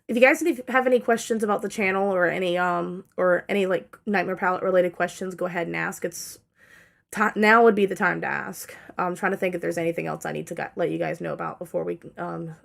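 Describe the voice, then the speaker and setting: Young woman, tired, dry-throated, a bit low and gravelly, rambles with lots of ums and restarts in a casual update. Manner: rambling with many fillers and self-corrections. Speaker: channel owner giving an update.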